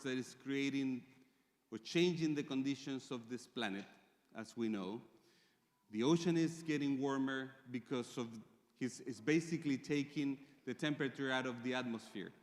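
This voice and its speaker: A Chilean man presents to a conference audience in English, which is not his first language. His pitch sits in an ordinary middle range, and he talks in measured phrases with frequent pauses. His voice carries a long reverb from the big hall. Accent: Chilean Spanish. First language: Spanish. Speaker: conference speaker.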